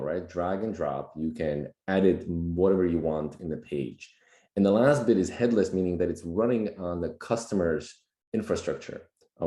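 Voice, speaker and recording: A man with a slightly raspy voice from a recent cold, explaining things plainly and casually as a podcast guest. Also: low-pitched, warm tone. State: just getting over a cold. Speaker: podcast guest, tech executive. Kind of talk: casual explanatory tech talk. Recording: roomy, echoey sound.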